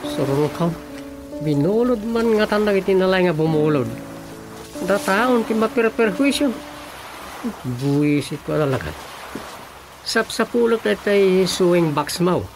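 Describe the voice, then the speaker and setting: Exasperated husband, Ilocano Filipino accent, radio comedy drama, muttering, creaky, very animated intonation. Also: male voice, high-pitched, heavily acted, poor audio.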